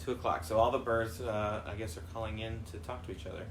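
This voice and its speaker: A woman's voice: extremely low pitch, steady tone, fast talker, a little hiss or hum. A woman co-hosting a casual improvised chat and reading out viewer questions.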